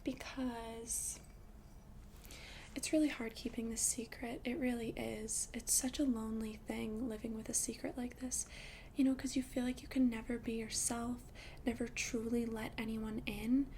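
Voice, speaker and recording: A woman voicing a friendly, considerate young vampire in a chatty ASMR roleplay, speaking in a soft, breathy half-whisper. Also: a little high, little pitch movement, moderate pace, slight background noise, lightly acted. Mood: tender, confiding. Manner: chatty, hesitant, reassuring, casual. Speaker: ASMR performer.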